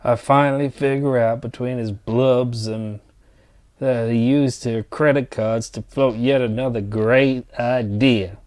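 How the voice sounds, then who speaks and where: A man's voice: mid-range voice, fairly even intonation, unhurried, darkish tone, lightly acted. A man playing a blunt, resentful, set-in-his-ways engineer in an exasperated dramatized dialogue. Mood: bitter, exasperated.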